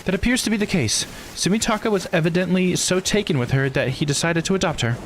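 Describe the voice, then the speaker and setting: A man's voice: high voice, fast, a bit bright, slight background noise, pitched above the performer's own voice, low-quality recording. A man voicing a self-satisfied, showy storyteller from a visual novel game as they explain old secrets. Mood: smug, eager.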